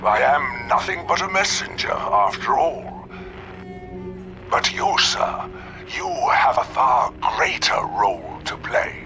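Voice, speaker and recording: An actor playing a sinister, ceremonious priest and prophet of a sea god in a video game, grand and theatrical. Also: male voice, mid-range voice, very animated, slow, sharp tone, faint music behind, heavily acted. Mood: grandiose, fervent.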